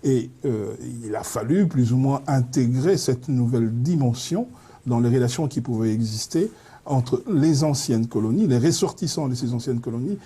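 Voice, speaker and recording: A man appearing as a guest on a studio debate, speaking in long, measured sentences and pausing often. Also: mid-range voice, warm tone, breathy edge.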